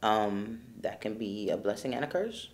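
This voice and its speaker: A woman's voice: a bass voice, normal speed, darkish tone. A woman from Los Angeles, a spoken word poet, talking casually and wryly about her upbringing. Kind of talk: documentary interview and poetry.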